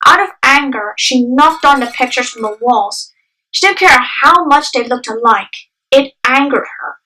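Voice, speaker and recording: A woman's voice: high-pitched, animated, moderate pace. A young adult woman reading scripted dramatic narration for a story, recorded echoey and off the microphone. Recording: distant echoey home microphone. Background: soft music.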